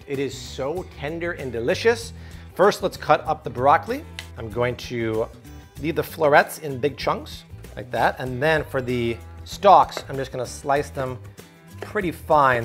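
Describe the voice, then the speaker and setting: A man in his thirties hosting a cooking video in a home kitchen, energetic and jokey, chatting with his crew as he cooks. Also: medium pitch. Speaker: cooking show host. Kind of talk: upbeat cooking demo.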